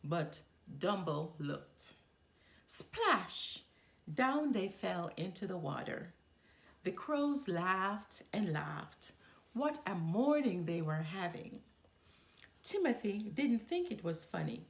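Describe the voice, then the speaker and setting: Slow, animated woman reading a storybook. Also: fairly low pitch, creaky. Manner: animated, deliberate storybook delivery. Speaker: storyteller reading a children's book aloud. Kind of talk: expressive read-aloud narration.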